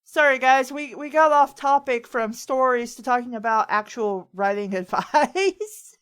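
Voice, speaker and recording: A woman's voice: high voice, unhurried, fairly bright tone, clean tone. A woman hosting a casual chat and giving advice in a conversational, rambling way, often acting out what others say. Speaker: host of a writing advice talk.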